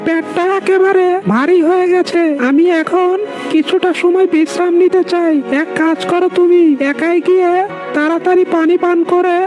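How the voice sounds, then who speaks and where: Cartoon goat, played by a man: extremely high voice, trusting, simple and earnest, music behind. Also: little pitch movement, moderate pace. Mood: friendly. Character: trusting, plucky male goat, loyal friend. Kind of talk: cartoon character voice acting.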